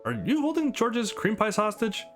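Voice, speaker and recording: A man's voice: high-pitched, expressive, normal speed, fairly bright tone, faint music behind, voice pushed up. A man voicing a wry, sarcastic young male protagonist in a visual novel game.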